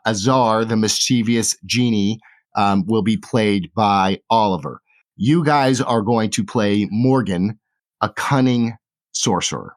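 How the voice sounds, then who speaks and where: Man running a tabletop game. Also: lowish voice. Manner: quick, plain explaining with fillers. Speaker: game master. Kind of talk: explaining game rules.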